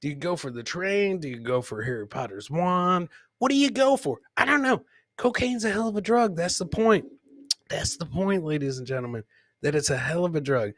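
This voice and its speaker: A man's voice: a little high, expressive, moderate pace, a bit bright, no echo, clean studio sound. A man hosting a live comedy show, commenting on clips casually and informally, repeating his lines like a showman. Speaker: podcast host.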